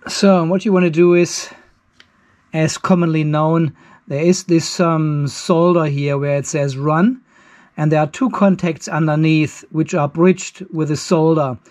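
A man's voice: on the high side, steady tone, a little slow, a little mellow. An older man with a German accent, narrating hands-on work casually and informally as he thinks aloud in a garage workshop, with some self-deprecation. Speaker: DIY video host.

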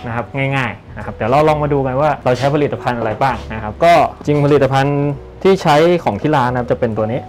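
Polite young barber explaining styling. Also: male voice, mid-range voice, quick pace, few pauses, soft background music. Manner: polite, explanatory, often ends phrases politely. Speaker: senior barber. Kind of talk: hands-on styling demonstration. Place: barbershop indoors.

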